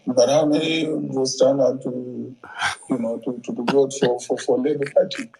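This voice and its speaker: A man with a Nigerian accent giving unscripted political analysis in a halting, measured way, often repeating words. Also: mid-range voice, fairly even intonation, creaky, dark tone, rough recording.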